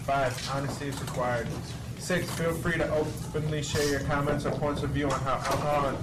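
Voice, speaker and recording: A man's voice: on the high side, flat delivery, normal speed. A man reading meeting guidelines aloud with small stumbles. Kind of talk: reading a list of rules aloud. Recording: noisy, distant mic.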